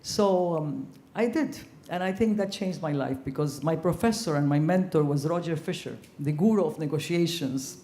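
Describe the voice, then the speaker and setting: An older woman from Ecuador speaking on a panel in a large conference hall, her voice mellow and warm. She talks earnestly and reflectively, filling her pauses with ums. The room's echo trails after her words. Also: deep voice. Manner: earnest, reflective, frequent ums, growing animated. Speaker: panelist, former ambassador. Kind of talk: panel discussion storytelling.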